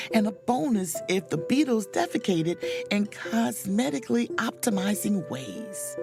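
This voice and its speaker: A woman playing a wry, self-possessed spaceship officer, narrating her own adventure in an expressive, sardonic audio drama style. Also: sits low. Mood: dry amusement. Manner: dry, sardonic, expressive storytelling.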